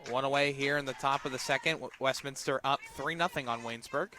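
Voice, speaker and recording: A man doing live radio play-by-play of a softball game as the announcer. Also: mid-range voice, fast, music in the background. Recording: noisy outdoor broadcast with crowd behind. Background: crowd and outdoor ambience.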